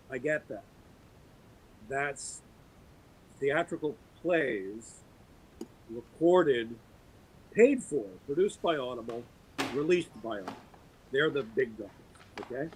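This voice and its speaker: A man's voice: medium pitch, breathy edge, low-quality recording. A man, a guest on a podcast, talking casually and with conviction about producing audio drama, getting onto his soapbox. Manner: opinionated, holding forth on a pet topic.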